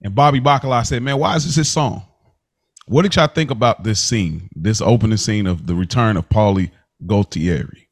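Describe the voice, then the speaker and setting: A man with a fairly low voice hosts a casual TV recap podcast. His phrasing is colloquial and slangy, and the sound is dry with very little room in it. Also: moderate pace, no echo. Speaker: podcast host.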